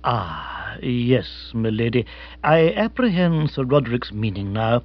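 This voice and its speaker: Guy doing a very proper english butler, deferential and measured, husky, slightly dull recording. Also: medium pitch, sing-song, pitched below the performer's own voice. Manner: stately, circumlocutory, very polite. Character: unflappable, formal English manservant, deferential and precise.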